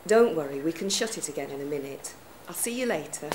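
A woman's voice: low-pitched, animated, quick pace, heavy background noise. A woman playing a friendly, attentive hospital receptionist, informal and reassuring, in an audio drama. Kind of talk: naturalistic audio drama dialogue.

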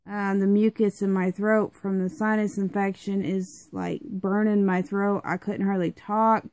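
A woman's voice: mid-range voice, little pitch movement, measured pace, a little mellow, no echo. Congested young woman rambling, dry sound. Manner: chatty, rambling, many fillers. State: congested, stuffed-up nose. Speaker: product reviewer. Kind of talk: casual rambling product review.

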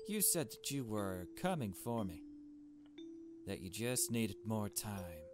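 A man reads as a shy young woman in a visual novel, on the low side in pitch. She is soft-hearted and unsure, feeling her way through sentences. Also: sing-song, slow pace, music in the background. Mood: uneasy. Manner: hesitant, questioning.

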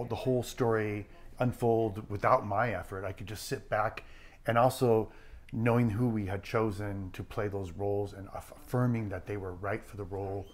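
Writer in interview, male, somewhat low voice, reflective, halting search for the right phrase. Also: a little hiss or hum. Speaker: screenwriter.

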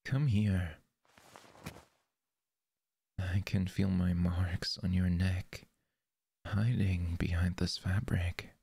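A possessive, jealous lover, played by a man in an audio roleplay, speaking very slowly and intimately close to the microphone. His low voice is gravelly and very breathy, and he often drops into a whisper. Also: mellow, dry recording, heavily acted. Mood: tender.

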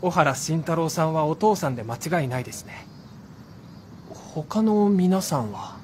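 An actor playing a polite, businesslike, reassuring police officer in a Japanese radio drama. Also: male voice, a little high, expressive, unhurried, a little hiss or hum. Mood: formal.

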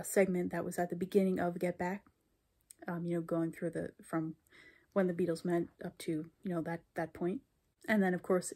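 A woman's voice: on the low side, warm tone, husky. A young woman in her twenties chatting in a casual vlog recap, full of fillers and restarts, recorded on a handheld phone in a small bedroom. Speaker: vlogger. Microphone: phone camera held close.

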